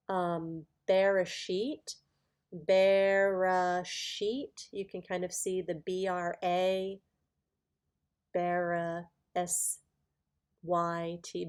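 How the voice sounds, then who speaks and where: Slow, lower-pitched woman teaching informally. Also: a little mellow. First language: English. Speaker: Bible teacher presenting a lesson. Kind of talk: informal spoken lesson to viewers.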